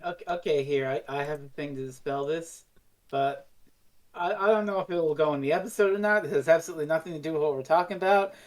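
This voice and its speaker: A woman's voice: sits low, fast talker, a little mellow. A woman co-hosting a podcast in casual, joking banter. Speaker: podcast co-host.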